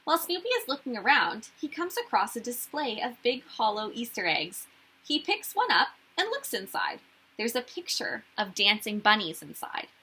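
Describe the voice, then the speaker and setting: A woman reading a picture book aloud to children in an expressive narrating style. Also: on the high side, husky, poor audio. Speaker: children's story reader.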